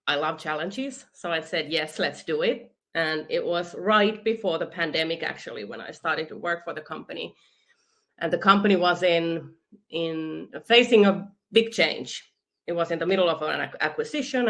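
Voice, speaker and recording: A woman's voice: low voice, normal speed, fairly bright tone. A woman from Finland with a Finnish accent, talking enthusiastically as an interview guest over a video call, with frequent restarts. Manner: enthusiastic, laughs often, restarts words. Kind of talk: conversational interview.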